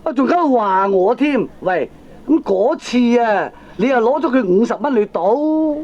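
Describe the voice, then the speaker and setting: A man's voice: extremely high pitch, lively intonation, measured pace. A man playing a feckless, defensive middle-aged gambling husband in a comedy radio drama, blustering in Hong Kong Cantonese with exasperated interjections. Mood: accusing. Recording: dull old cassette radio drama recording.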